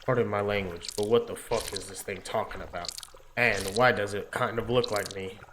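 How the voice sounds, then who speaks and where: A man's voice: fairly low pitch, steady tone, normal speed, noisy background. A man hosting a gaming video, reading a story aloud and dropping in casual, wry asides. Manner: reads lines aloud, drops into wry commentary. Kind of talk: game narration with casual asides. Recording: loud game music behind.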